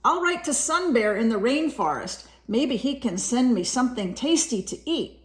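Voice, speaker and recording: A woman voicing a wishful male polar bear from a children's storybook, with expressive, drawn-out delivery. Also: a little high, lightly acted. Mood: pondering.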